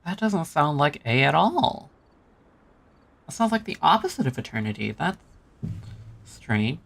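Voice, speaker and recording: A man's voice: on the high side, very animated, a little slow, creaky, fairly bright tone, a little hiss or hum. A man reading the player's lines out during a game stream, with plain, questioning reactions.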